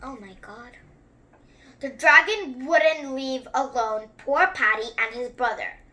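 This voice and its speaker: A young-sounding story reader who reads a picture book aloud and chats through it with expressive, wandering asides. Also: sits high, takes it slowly, a little hiss or hum. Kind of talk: children's story time read-aloud with excited running commentary.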